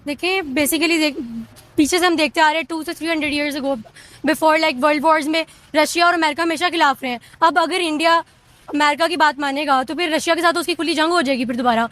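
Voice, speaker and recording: A woman's voice: high voice, fairly even intonation, a little fast, fairly bright tone. A woman with a Pakistani accent giving off-the-cuff opinions in an interview, mixing in English words.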